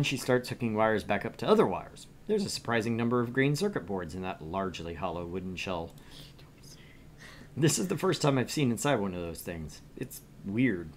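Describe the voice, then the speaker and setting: A man's voice: fairly low pitch, animated, a little fast, slight background noise. A man streaming a visual novel, reading its narration aloud and chatting casually about it. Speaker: streamer and reader.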